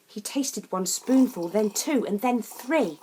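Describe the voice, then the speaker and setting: A woman reads a rhyming children's picture book in a theatrical, deliberate and emphatic way. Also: mid-range voice, lively intonation, warm tone, husky. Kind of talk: expressive rhyming storybook narration.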